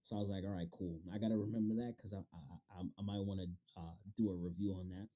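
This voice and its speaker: A man's voice: low-pitched, steady tone, fast, warm tone. A man hosting a casual interview, talking in loose slang and swearing freely. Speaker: podcast interviewer and manga reviewer.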